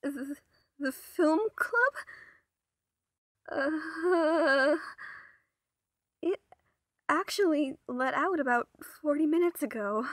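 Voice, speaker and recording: A woman's voice: sits high, drawn-out words, pauses often, no echo, studio quality. A woman playing a timid, bewildered young schoolgirl with an earnest, flustered manner in a cartoon dub. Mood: nervous.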